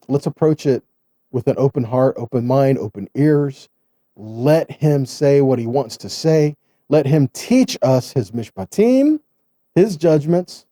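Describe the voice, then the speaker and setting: A man's voice: mid-range voice, warm tone, no room sound, studio quality. A man giving an informal sermon as a Bible teacher, earnest and exhorting, often checking in with rhetorical questions. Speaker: Bible teacher, host of online Torah studies.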